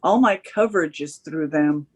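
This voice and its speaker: A woman's voice: low-pitched, moderate pace. A woman acting as a dry, sardonic tech office worker in a screenplay table read. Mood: concerned. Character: wry, sardonic female tech office worker helping a friend.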